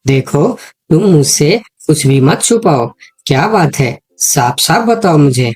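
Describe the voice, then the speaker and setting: A man's voice: on the high side, lively intonation, a little slow, a little mellow. A man voicing a sensible, protective deer in a cartoon moral story, speaking in a concerned, advising way. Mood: concerned, puzzled. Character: sensible, protective male deer, loyal friend.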